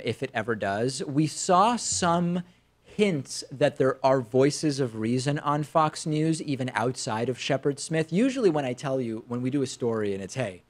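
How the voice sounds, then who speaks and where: American male talk show host, medium pitch. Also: darkish tone. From Argentina, moved to the United States at five. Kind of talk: conversational storytelling and commentary.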